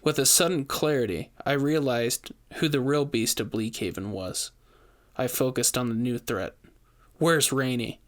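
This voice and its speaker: A man tells a scripted horror story in the first person. He has a mid-pitched voice and works as a storyteller, speaking as the one it happened to. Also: moderate pace.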